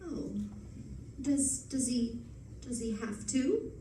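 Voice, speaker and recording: An actress playing a witty, affectionate, teasing society wife in the style of 1940s radio drama. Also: female voice, on the high side, takes it slowly, mellow, slight background noise. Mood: uneasy. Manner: teasing, arch delivery. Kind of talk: live recreation of 1940s radio acting. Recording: roomy stage recording from a distance.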